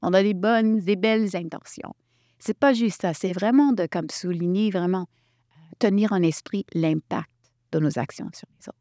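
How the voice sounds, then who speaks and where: A woman from Quebec leading a workshop, talking in an animated way and restarting her sentences often. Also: medium pitch, moderate pace, no room sound, studio quality. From Quebec, Canada. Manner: animated, thinks aloud with many restarts. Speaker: workshop facilitator. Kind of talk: workshop talk.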